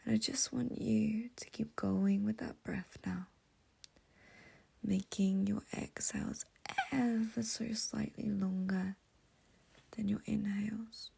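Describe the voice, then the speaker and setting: Female meditation guide, soothing and intimate, very breathy whisper with a soft, mellow tone. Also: mid-range voice, fairly even intonation. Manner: soothing, slow, gentle, intimate.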